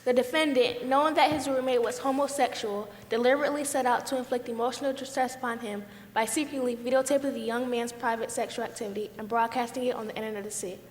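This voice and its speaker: Woman playing precise righteous juror, stage play, pretty high voice, echoey noisy hall. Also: steady tone, fast, hardly any pauses, natural voice. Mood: insistent. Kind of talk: amateur stage play acting. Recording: echoey hall with background noise.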